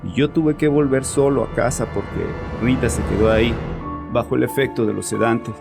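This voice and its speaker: An actor playing a troubled, chauvinist bereaved father confessing in an audio horror drama, with a haunted, halting manner. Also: medium pitch, gravelly, music in the background, lightly acted. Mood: haunted, grieving. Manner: haunted, halting, repeats himself.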